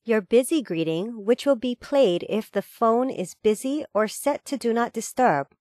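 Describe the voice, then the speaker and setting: A woman reading a scripted instructional voice-over in a measured, formal, step-by-step manner. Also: fairly high pitch, lively intonation, darkish tone, no room sound, clean studio sound. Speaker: tutorial narrator.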